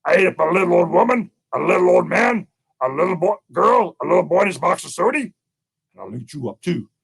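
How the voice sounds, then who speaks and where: A man voicing a big, hairy, hungry bear that blusters and makes slow, loud threats in a children's story. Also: sits high, expressive, gravelly, slightly rough, heavily acted. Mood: menacing.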